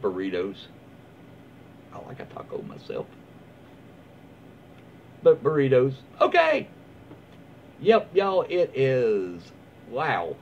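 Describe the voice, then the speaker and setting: A dull, noisy home recording of a man reading online stories aloud. His pitch moves around a lot as he slips between reading and easygoing, rambling commentary. He sounds folksy and casual, like a host chatting from his own room. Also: wildly swooping pitch, slow pace, pauses often, a little mellow. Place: small room at home, indoors. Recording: dull lo-fi sound with clear background noise.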